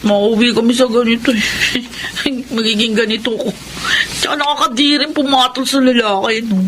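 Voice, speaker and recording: A woman's voice: fairly high pitch, steady tone, normal speed, natural voice. Actress doing tomboyish young pregnant woman, blunt n sulky, radio drama, noisy audio. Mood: defensive. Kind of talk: Filipino radio drama acting.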